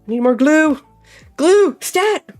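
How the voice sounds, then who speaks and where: A woman's voice: high voice, expressive, slow pace, darkish tone, soft background music. A young woman chatting casually as she crafts, thinking aloud and filling her talk with "like.". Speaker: crafting vlogger. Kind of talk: casual chat while crafting.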